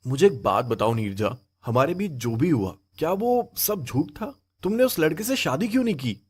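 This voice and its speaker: A man playing a hurt, dutiful young husband in an audio story, speaking in a measured, reproachful way. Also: a little high, very animated, darkish tone, husky. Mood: demanding, pained. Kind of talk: acted Hindi audio story dialogue.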